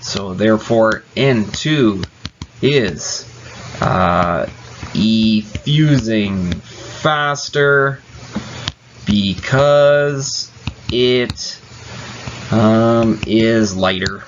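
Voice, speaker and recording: Guy teaching chem step by step, kinda low voice, dull lo-fi recording. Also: drawn-out words, pauses often, a little hiss or hum. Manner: patient, step-by-step, casual fillers. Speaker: chemistry teacher. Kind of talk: classroom explanation.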